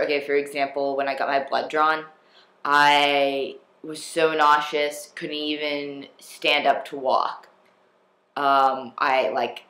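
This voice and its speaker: Slow, rambling young man in echoey room. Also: medium pitch, bright, forceful tone. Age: young adult. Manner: rambling, self-deprecating, many fillers and restarts. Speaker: vlogger. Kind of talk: casual personal vlog.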